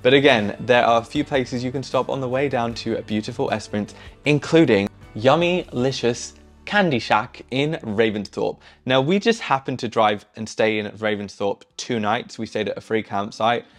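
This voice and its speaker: A man's voice: medium pitch, moderate pace, soft background music. A young man giving enthusiastic, chatty travel tips to camera while seated in a small living room. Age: young adult. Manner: easygoing, enthusiastic, chatty with fillers. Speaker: travel vlogger. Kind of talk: casual travel recommendations to camera.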